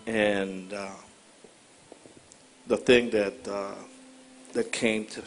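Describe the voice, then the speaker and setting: A man's voice: on the low side, little pitch movement, very slow, slight background noise, rough recording. A man from Puerto Rico in the congregation, hesitant at first and then earnest as he shares a word. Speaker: congregation member. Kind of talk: sharing a prophetic word.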